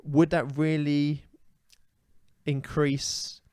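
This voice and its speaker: A man's voice: fairly high pitch, level tone, very slow, darkish tone, clean tone, no room sound. Opinionated man ranting on a dry podcast mic. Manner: opinionated, ranting, many fillers. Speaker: podcast co-host. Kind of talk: casual comedic podcast chat.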